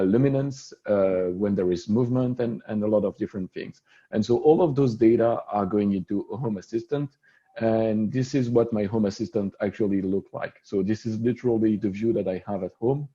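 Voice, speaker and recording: A man with a French accent giving a casual, relaxed tech demo over an online meeting, with frequent "uh" fillers. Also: lowish voice, breathy edge, highs cut off. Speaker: solutions architect presenting a demo. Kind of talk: casual screen-share tech presentation.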